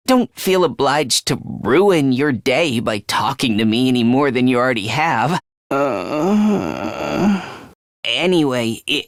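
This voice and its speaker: Anxious, self-loathing teen boy for a video game; hesitant, apologetic, slow, dry close sound. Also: mid-range voice, no room sound. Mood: anxious, self-deprecating. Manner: stammering, hesitant, over-apologetic. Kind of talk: English video game voice acting.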